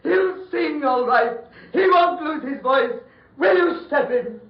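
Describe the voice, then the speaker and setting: An actor playing an obsessed, desperate mad doctor in a theatrical, raving 1940s radio horror style. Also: male voice, very high-pitched, lively intonation, slow, full character voice. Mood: frantic insistence. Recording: muffled old radio recording, echoey.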